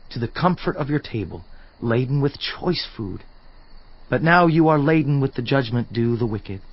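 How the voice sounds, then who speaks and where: A man's voice: medium pitch, dry recording, muffled recording. An actor playing an earnest, fervent man who declaims solemnly and emphatically about God's majesty in a dramatized scripture production. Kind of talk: dramatized scripture reading, oratorical.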